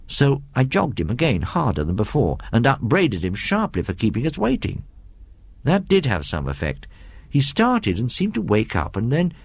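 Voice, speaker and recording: A man with a British English accent reads a first-person ghost story in a measured, reminiscing way with conversational asides. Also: lowish voice, a little mellow, dry recording. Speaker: story reader.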